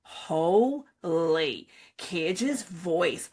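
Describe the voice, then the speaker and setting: A woman's voice: on the low side, animated, drawn-out words, a little mellow, slightly rough. A woman co-hosting a book club podcast, chatting in an informal, gushing way. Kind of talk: casual chat about books.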